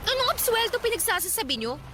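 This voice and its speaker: A woman's voice: extremely high pitch, big pitch swings, fast talker, background noise. A woman playing an indignant, embarrassed young daughter with a Filipino accent in a radio drama, scolding in exasperation. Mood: exasperated, scolding. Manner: sharp, exasperated. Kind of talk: Tagalog radio drama acting.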